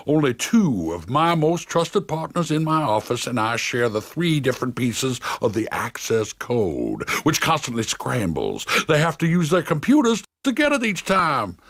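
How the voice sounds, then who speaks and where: An actor playing a pompous, scheming lawyer villain with a Southern US accent in an animated game cutscene. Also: male voice, on the high side, no echo, studio quality, heavily acted. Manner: pompous, drawn-out, folksy.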